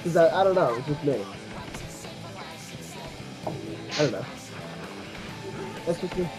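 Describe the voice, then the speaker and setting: A casual commentator with a medium-pitched voice talks through a video game with others. The delivery is informal and full of interjections, with game music clearly heard behind it. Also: animated, slow pace. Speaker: gaming commentator. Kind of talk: casual group playthrough commentary.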